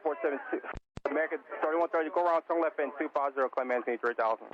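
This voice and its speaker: A man's voice: fairly high pitch, very fast. A man working as an air traffic controller, giving clipped procedural instructions over aviation radio in a muffled, noisy clip.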